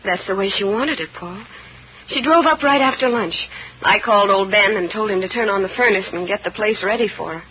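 A woman acting as a gentle, concerned American woman speaks carefully and anxiously at a middling pitch. It is mid-century radio drama, muffled and slightly noisy. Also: fairly quick, natural voice. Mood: sympathetic. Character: Claudia, concerned, gentle sister confiding bad news. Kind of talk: 1950s radio serial acting. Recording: muffled old radio recording with noise.

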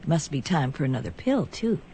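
An actress playing a sweet, anxious young bride in a scripted drama. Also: female voice, sits low, sing-song, brisk, mellow, slight background noise. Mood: affectionate, wondering. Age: young adult.

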